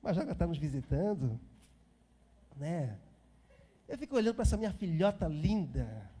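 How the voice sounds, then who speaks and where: A man's voice: a little high, big pitch swings, moderate pace, dark tone, breathy edge. A Brazilian man in his fifties preaching an informal sermon as a pastor, repeating phrases for emphasis and chatting to the congregation. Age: 50s.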